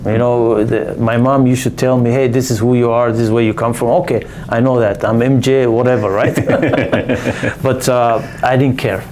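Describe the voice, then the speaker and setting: A man talks at an everyday pitch in a relaxed back-and-forth. He laughs often and keeps nudging his listener with "right?". A faint hiss sits under the recording. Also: moderate pace. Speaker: podcast co-host. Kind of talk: casual conversation.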